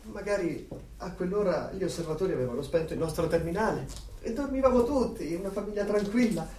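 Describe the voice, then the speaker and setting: In a roomy, slightly noisy recording, an anxious married man who fears being watched. His delivery is halting and confiding, in radio-play style, heard at some distance from the mic. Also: high-pitched, animated, darkish tone. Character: nervous married man, fearful of surveillance, furtive. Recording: echoey room, distant mic, faint noise.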